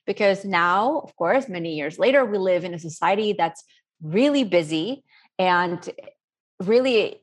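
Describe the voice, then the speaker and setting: A middle-aged woman from Spain answering interview questions in an earnest, rambling, conversational way. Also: medium pitch. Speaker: interview guest, self-taught cook.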